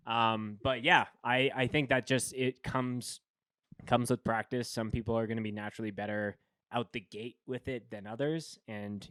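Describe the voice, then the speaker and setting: A man hosts a casual podcast. His voice is smooth and resonant, sitting in an ordinary middle pitch, and he rambles through restarts and fillers. The sound is dry and close, with no room around it. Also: fairly bright tone, no echo. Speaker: podcast host. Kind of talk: casual chat.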